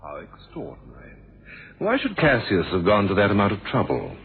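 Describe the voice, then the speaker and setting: A man's voice: fairly low pitch, wildly swooping pitch, normal speed, husky, narrow, tinny audio, exaggerated voice. A man acting a shrewd, courteous but suspicious police inspector in a radio drama, drily wry and formal. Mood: wry, probing. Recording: thin band-limited old radio, noisy.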